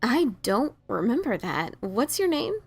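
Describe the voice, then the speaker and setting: A woman's voice: fairly high pitch, very animated, unhurried, husky, full character voice. A woman playing a brash but self-doubting pegasus pony adventurer, with hesitant, stammering, casual delivery in an audio drama. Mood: puzzled, apologetic. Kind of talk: audio drama dialogue of fan fiction.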